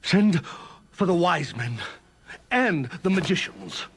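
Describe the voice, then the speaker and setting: Pharaoh imperious. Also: male voice, high voice, very animated, slow, a little hiss or hum, full character voice. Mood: commanding. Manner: commanding, imperious, impatient. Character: troubled commanding male Pharaoh.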